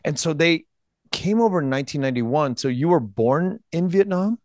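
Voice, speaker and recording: A man's voice: a little high, lively intonation, no echo. A man in his forties hosting an interview podcast, speaking earnestly with frequent restarts into a close microphone. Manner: earnest, halting, many restarts. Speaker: podcast host. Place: home studio room with bookshelves. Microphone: headphones and studio mic close up.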